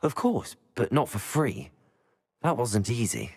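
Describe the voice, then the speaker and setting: Lively young wizard student. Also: male voice, medium pitch, a little slow. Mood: pleased, conversational. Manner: musing aloud, casting one-word spells. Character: young male student wizard, confident, curious, lightly wry. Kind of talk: video game voice acting.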